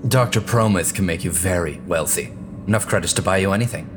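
Man acting a nervous, lowish-voiced associate. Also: quick pace, noisy background, lightly acted. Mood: earnest bargaining. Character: nervous, indignant male associate of a wealthy doctor. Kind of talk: audio drama acting.

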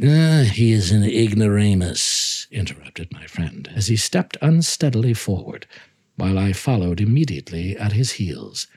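A man giving a theatrical, deliberate literary reading of a Gothic story as narrator. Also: on the low side, darkish tone, slightly rough.

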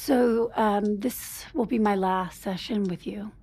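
A woman's voice: mid-range voice, steady tone, slow pace, warm tone, slightly rough. An actress playing a guarded, strained wife and mother in naturalistic audio drama, speaking conversationally with some hesitancy. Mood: defensive.

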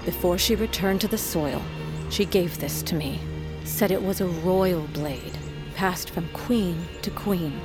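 A low-voiced, middle-aged mother played by a woman in a fantasy audio drama. She is solemn and grieving, speaking with measured weight as if handing down an old tale, with music clearly underneath. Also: close to an everyday voice. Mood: solemn, reverent. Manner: measured, weighty, storytelling delivery. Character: grieving, solemn mother, proud wolf queen's daughter.

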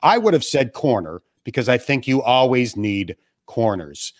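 A man from western New York who is a radio play-by-play broadcaster, answering interview questions in a measured, self-deprecating way from his family room. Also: mid-range voice, very animated, dry recording, studio quality. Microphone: remote call from home.

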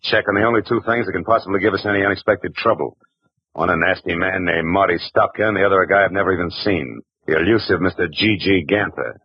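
A man's voice: deep voice, fast, slightly rough, dry recording. A man narrating as a hard-boiled private detective, wry and clipped, in 1940s radio acting. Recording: hissy, dull old radio broadcast.

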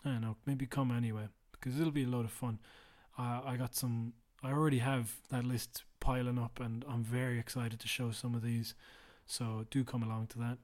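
Tired, low-voiced man, flat delivery. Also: fairly quick, few pauses. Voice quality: low and quiet. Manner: rambling, self-deprecating, drops to a mutter at times. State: tired, recording late at night. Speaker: podcast host. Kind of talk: casual solo housekeeping chat.

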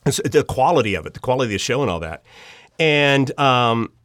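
A man's voice: mid-range voice, brisk, dry recording, clean studio sound. A middle-aged American man tells personal stories conversationally as a guest, acting out remembered dialogue as he goes. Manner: animated, acts out remembered dialogue. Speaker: guest, audio drama writer.

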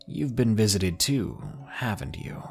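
A man voicing a solemn, quiet-spoken Japanese man in a narrated ghost story. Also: lowish voice, sing-song, unhurried, creaky, faint music behind, heavily acted.

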